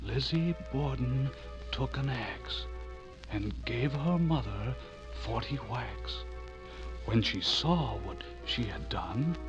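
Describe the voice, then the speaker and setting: A man's voice: on the low side, natural voice. An actor playing an earnest, rattled man who narrates his own horror story in a theatrical, suspenseful radio drama style. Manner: theatrical, intense, suspenseful delivery. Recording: muffled radio drama over loud music.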